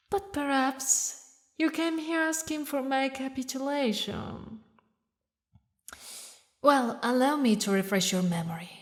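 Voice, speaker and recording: Woman acting as a slow, haughty demon queen. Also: medium pitch. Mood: scornful, indignant. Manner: slow, taunting, regal, with dramatic pauses. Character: haughty, mocking demon queen mourning her lost lover. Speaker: villainous ruler addressing a knight. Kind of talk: theatrical audio roleplay monologue.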